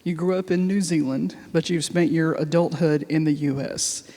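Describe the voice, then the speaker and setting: A man hosts a library talk and asks questions in a playful, informal way. His voice sits somewhat high, and it carries the long reverb of a library event hall. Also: steady tone, normal speed, dark tone. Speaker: event host. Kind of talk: casual interview questions.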